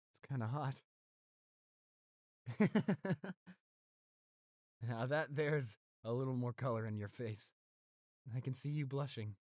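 A man playing a gentle, devoted, weakened boyfriend in an intimate roleplay audio drama, speaking softly, haltingly and reassuringly. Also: medium pitch, no room sound, lightly acted. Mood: teasing, tender. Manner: tender, halting, reassuring, close and soft.

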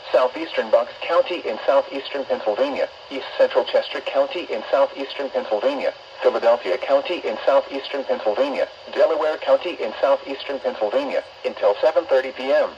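A synthetic male announcer voice reading an automated weather warning in a formal, measured way, played through a weather radio speaker. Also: mid-range voice, vocal fry, darkish tone, muffled recording, slight background noise. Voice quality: synthetic text-to-speech voice. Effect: weather radio broadcast.

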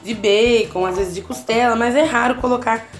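A woman with a Brazilian Portuguese accent walks viewers through a simple recipe in her own kitchen, keeping up a steady, chatty patter. Her voice sits on the higher side, and soft music plays faintly underneath. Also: moderate pace. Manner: chatty, constantly checking in with the viewer. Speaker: cooking channel host. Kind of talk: casual home cooking tutorial. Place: home kitchen, at the stove.

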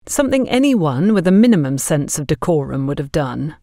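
A woman narrating a scripted audio story in a measured, expressive storytelling manner. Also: deep voice, dry recording, studio quality.

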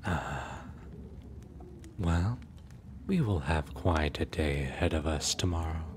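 An immortal prince , acted by a man, speaks in a profoundly deep, slow voice. He is formal and suave, teasing yet possessive and quietly dangerous. It is an ASMR roleplay with noticeable ambient noise behind him. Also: lively intonation, exaggerated voice. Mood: courtly, charmed.

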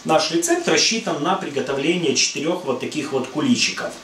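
A man's voice: fairly high pitch, brisk, fairly bright tone, a little hiss or hum. A middle-aged man from Ukraine hosting a cooking channel, explaining in a friendly, rambling way with many "uh" fillers, recorded in a home kitchen. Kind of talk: casual cooking talk to camera. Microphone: far camera. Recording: echoey kitchen, voice from a distance.

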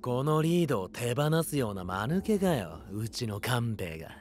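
Man as a swaggering, animated gang-boss warlord. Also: medium pitch, moderate pace, a little hiss or hum, lightly acted. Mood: cocky. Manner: rough slangy speech, swaggering. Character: brash, cocky male gang-boss warlord, fiercely loyal. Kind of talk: Japanese anime voice drama acting.

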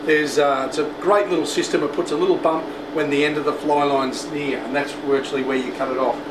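A middle-aged man with a fairly high voice presents a casual tour, explaining as he goes in a chatty way. He sounds echoey and set back from the microphone, in a loud factory hum. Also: fairly even intonation, brisk, far from the mic. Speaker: host presenter. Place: indoors in a large factory and workroom.

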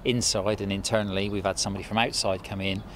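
A man's voice: fairly low pitch, flat delivery, fast talker. A man in his forties, a shopkeeper, giving a casual interview answer outside his shop. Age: 40s. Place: outdoors in front of a shop. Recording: outdoor street and traffic noise. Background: cars, street ambience.

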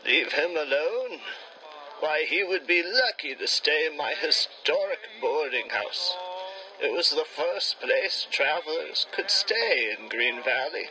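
A man's voice: fairly high pitch, expressive, pushed and bright, heavily acted, poor audio. An actor playing a proud, pushy boarding house proprietor in an old-time radio drama, talking like a grandiose salesman. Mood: offended pride. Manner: grandiose, drawn-out salesman delivery. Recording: music behind the voice.

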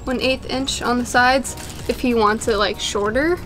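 A woman's voice: a little high, steady tone, moderate pace, a bit bright, soft background music. A young woman outdoors on a deck, chatting casually as she explains how she cuts hair at home, laughing at herself now and then. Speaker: vlogger cutting her husband's hair.

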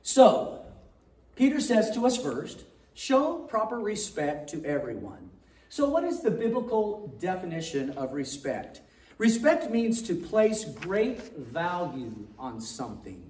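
An older man preaching a sermon as pastor on a large church stage, projecting his voice loudly with a joking manner. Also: high-pitched, huge pitch swings, slow. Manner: jokey, loud projecting preacher. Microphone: far camera, handheld mic. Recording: roomy sound from far off.

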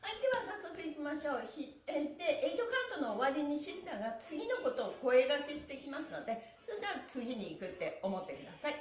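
High, lively woman leading a stretch class. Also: fast, hardly any pauses. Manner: cheerful, upbeat, sing-song cues. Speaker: stretch and mime instructor. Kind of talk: live-streamed exercise class. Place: small room with a floor mat. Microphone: camera a few feet away. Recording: echoey room.

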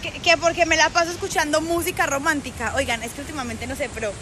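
A woman's voice: high voice, fairly even intonation, fast, background noise. A young adult woman with a Colombian paisa accent chatting playfully into her phone while vlogging outdoors. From Colombia, Antioquia. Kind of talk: casual selfie vlog chat. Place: outdoors at a beach, later a club. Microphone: phone at arm's length.

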